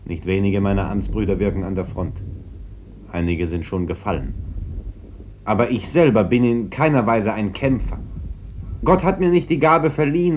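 An actor playing a gentle, troubled pacifist priest in a radio play, speaking in an earnest, measured, conciliatory way. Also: male voice, mid-range voice, lightly acted. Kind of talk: German radio play acting. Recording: dull, noisy old radio recording.

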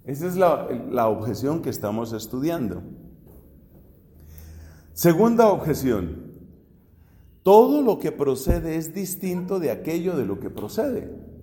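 A man's voice: fairly high pitch, big pitch swings, unhurried, long pauses, mellow. A Colombian man in his fifties, a Dominican friar teaching a theology class, explaining step by step with questions and everyday examples. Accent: Colombian Spanish. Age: 50s. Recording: echoey hall with long reverb.

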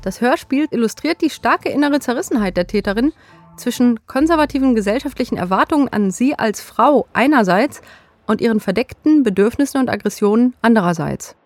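A woman podcast presenter giving a scripted, factual and measured introduction to a radio play. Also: on the high side, animated, no room sound, soft background music.

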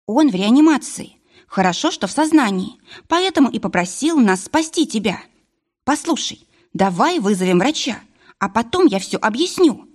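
A woman's voice: high-pitched, big pitch swings, unhurried, a little mellow, slightly rough, pitched above the performer's own voice, exaggerated voice. A woman voicing an anxious, hot-tempered young woman in an audiobook story, exclaiming and pleading in an agitated way.

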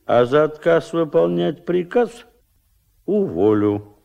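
An actor playing a pompous, bossy, suspicious fishery boss in a radio play, with an officious, bureaucratic, blustering way of talking. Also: male voice, on the high side, very animated, slow pace, creaky, dull audio, heavily acted. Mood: stern, threatening. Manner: officious, bureaucratic, repeating catchphrases. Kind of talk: Soviet radio play acting.

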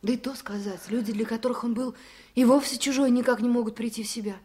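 An actress playing an eager, anxious young expectant village wife in a 1970s radio play, speaking earnestly and emotionally. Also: female voice, on the high side, fairly even intonation, quick pace, a little mellow, close to an everyday voice. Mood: concerned. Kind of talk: 1970s radio drama acting.

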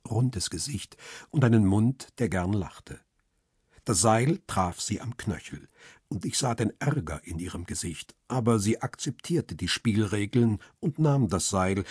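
An actor playing a middle-aged photographer and devoted husband, narrating a radio crime drama in a measured, reflective, literary way. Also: male voice, on the low side, a little mellow, breathy edge, no room sound, clean studio sound, heavily acted.

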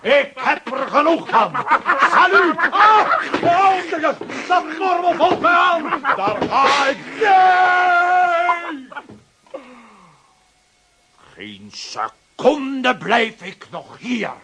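A man's voice: very high-pitched, very animated, extremely slow, pushed and bright, a little hiss or hum, heavily acted. An actor playing a grumpy, blustering bald middle-aged man who likes a drink, in a children's radio play. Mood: furious. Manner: grumbling, blustering, easily offended.

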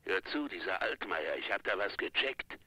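An actor playing a blunt, urgent associate with a rough voice through a telephone filter, in a German radio drama. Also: male voice, sits low, expressive, normal speed, a crowd in the background. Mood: urgent, warning.

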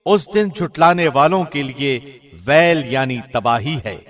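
A man reading a scripture translation in a formal, measured, solemn radio-host delivery. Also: a little high, animated, faint music behind.

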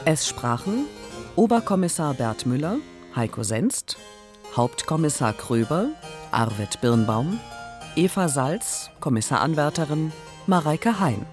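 Radio drama credits announcer, scripted reading, lively intonation, prominent music behind. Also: medium pitch, a little slow.

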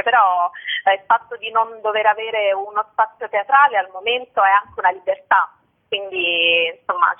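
A woman actress answering interview questions about her stage work, thoughtful and hesitant, with many fillers and restarts. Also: mid-range voice. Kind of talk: casual spoken interview. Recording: phone-like narrow sound.

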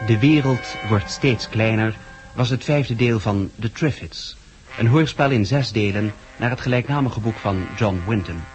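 A man's voice: fairly low pitch, a little slow. A man announcing the title and credits of a radio drama in a scripted style. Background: prominent music.

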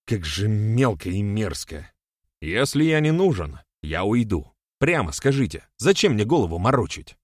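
A man's voice: lowish voice, big pitch swings, moderate pace, no room sound, studio quality. A man voicing a fiery defiant warrior, wide swings. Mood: heated, indignant. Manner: defiant, sarcastic, declamatory. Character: hot-tempered defiant young warrior-sorcerer, a man quick to rage.

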